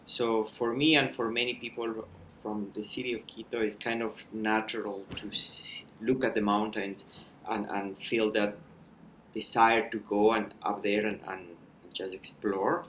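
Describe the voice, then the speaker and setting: Man, 30s, low pitch, measured, reflective, faint background noise. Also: flat delivery, a bit bright, poor audio. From Ecuador. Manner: measured, with frequent restarts and filler. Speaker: mountain guide, podcast guest. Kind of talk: thoughtful conversational storytelling.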